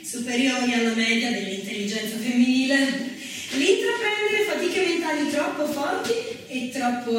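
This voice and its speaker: A woman playing a pompous, moralizing early-1900s conservative in a theatrical monologue, declaiming with disapproval. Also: high-pitched. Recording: roomy, echoing, distant mic, faint noise.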